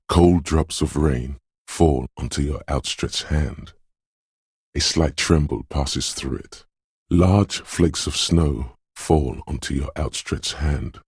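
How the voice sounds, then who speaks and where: An actor playing a brooding, dreamlike inner voice of intuition in a video game, narrating slowly, solemnly and ominously in poetic second person. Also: male voice, extremely low pitch, breathy edge, dry recording, clean studio sound, heavily acted. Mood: melancholy, dreamlike.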